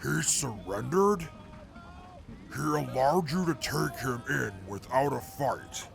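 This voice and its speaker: Guy doing a gravelly mutant ex-soldier, super slow and formal, radio play w music under. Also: medium pitch, expressive, heavily acted. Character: reformed male mutant soldier, formal and intelligent. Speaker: former enemy scout now with the crew. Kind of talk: audio drama acting.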